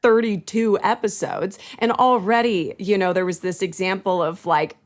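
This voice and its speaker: A female voice actor talking casually as a guest on a podcast. She sounds enthusiastic and openly admiring. Her pitch is an ordinary middle range for a woman. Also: steady tone, moderate pace.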